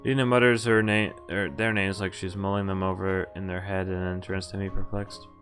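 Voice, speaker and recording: A man streaming a visual novel, reading it aloud and commenting casually in a halting way with offhand swearing. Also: low-pitched, flat delivery, fairly bright tone, faint music behind. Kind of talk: casual game walkthrough with read-aloud narration.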